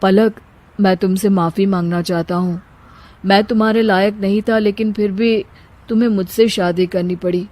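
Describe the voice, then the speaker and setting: A woman's voice: mid-range voice, steady tone, moderate pace, mellow, natural voice. A woman voicing a simple, meek young husband with a humble, guileless way of talking in a moral story. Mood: apologetic. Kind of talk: Hindi moral story dramatization.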